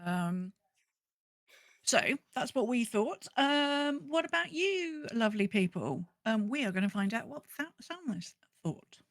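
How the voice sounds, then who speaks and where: A woman's voice: a little high, big pitch swings, a little slow, no echo. A woman co-hosting a podcast in casual chat, conversational, repeating phrases for emphasis as she goes. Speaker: podcast co-host. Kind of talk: casual podcast chat about a video game.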